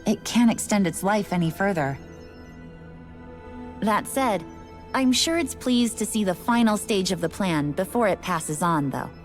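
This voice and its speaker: An actress playing a resigned, matter-of-fact station worker in a video game, explaining things in a casual, unbothered way. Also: female voice, mid-range voice, lively intonation, unhurried, soft background music, lightly acted. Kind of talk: video game cutscene voice acting.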